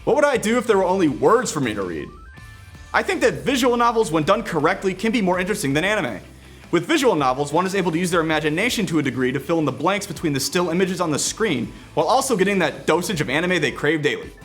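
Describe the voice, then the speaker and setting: A man's voice: sits high, sing-song, quick pace, a bit bright, faint music behind. A man presenting a scripted video game review with casual asides.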